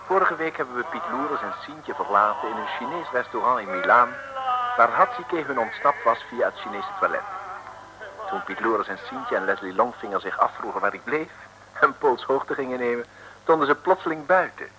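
A man's voice: medium pitch, expressive, normal speed. A man narrating a vintage radio serial in a wry, chatty, tongue-in-cheek storytelling style. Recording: noisy old radio recording.

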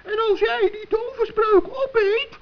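A very high-voiced little male gnome in a children's radio play. He is earnest, good-hearted and easily flustered. The old recording sounds dull and muffled. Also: unhurried. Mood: alarmed. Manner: fretful, earnest, stammering when upset.

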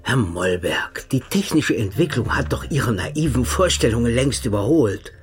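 An actor playing a cold, smug male secret-service agent in a radio play. He speaks calmly and mockingly, sometimes dropping to a hushed, sinister tone. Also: mid-range voice, normal speed, close to an everyday voice. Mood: coldly mocking. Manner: calm, mocking, sinister, drops to hushed tones.

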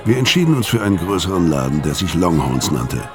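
An actor playing a weary hard-boiled killer, narrating a radio crime drama tersely and matter-of-factly. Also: male voice, low-pitched, sing-song, fast. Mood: grim, matter-of-fact. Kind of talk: scripted first-person noir narration in a radio play. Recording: music under the voice.

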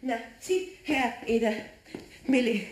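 A woman's voice: fairly high pitch, slow, a little mellow, a little hiss or hum. A woman playing a fussy, superstitious, stubborn cleaning woman in a radio play, lamenting dramatically in a Bavarian accent. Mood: flustered. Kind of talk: German radio play acting.

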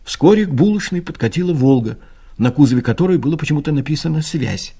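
A man's voice: fairly high pitch, animated, fast, mellow, husky. A man reading scripted literary narration for an audio play, telling the story expressively.

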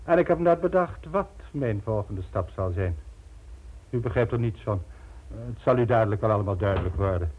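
An actor playing a smug, urbane crime boss in a radio play, speaking pompously with mocking politeness and condescension. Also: male voice, fairly low pitch, expressive, moderate pace, slightly rough. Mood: smug, calm. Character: smug, urbane male crime syndicate boss posing as philanthropist. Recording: muffled old radio drama recording.

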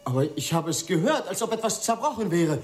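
A timid young helper, kinda high voice, hesitant and obedient, radio play. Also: male voice, big pitch swings, fast, a little hiss or hum. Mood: anxious. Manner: hesitant, submissive. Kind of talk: German radio drama acting.

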